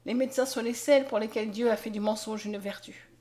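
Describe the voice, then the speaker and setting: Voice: medium pitch, fairly even intonation, fairly quick, darkish tone, close to an everyday voice. A woman narrator voicing a weak, despairing blind young soldier in a faint, murmuring storybook manner. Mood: resigned gratitude.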